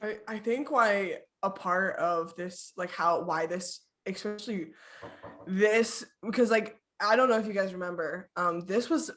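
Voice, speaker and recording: A young woman in casual podcast chat, laughing often and talking in quick bursts. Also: medium pitch, a bit bright. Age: young adult. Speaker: podcast co-host.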